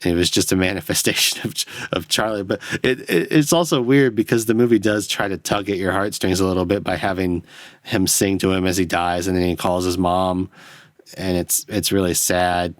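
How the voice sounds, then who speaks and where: A man's voice: sits low, fairly even intonation, moderate pace, dry recording. A man hosting a solo film podcast, rambling and self-deprecating, swearing as he analyses a movie into a close microphone. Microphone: close studio microphone.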